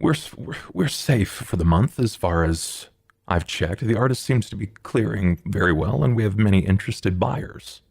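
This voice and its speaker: A man playing a careful, polite vampire accountant in a tabletop game, speaking formally and in measured, wordy phrases. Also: fairly low pitch, animated, creaky, darkish tone, husky, dry recording, clean studio sound, full character voice. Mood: polite, cautious.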